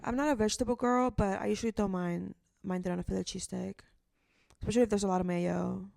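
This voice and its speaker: A woman in a casual podcast chat, playful and quick with dramatic gasps and quips. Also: mid-range voice, fairly even intonation, creaky, a little mellow, no room sound, clean studio sound.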